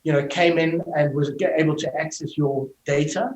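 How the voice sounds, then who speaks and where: Man, South African accent, middle-aged, slightly high voice, fluent explainer, interview guest, roomy echo. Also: steady tone, moderate pace, a little mellow, slight background noise. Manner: explanatory, fluent, uses fillers like "you know". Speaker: tech company executive, guest. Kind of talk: interview answers.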